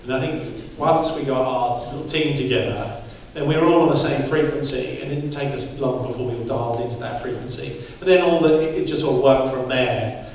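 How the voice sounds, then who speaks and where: A man's voice: medium pitch, brisk, hardly any pauses, darkish tone, recorded from a distance. A man who directs films, answering a press question in a wry, loose way with easy laughs. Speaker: film director. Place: press conference room. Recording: echoey room, mic from afar.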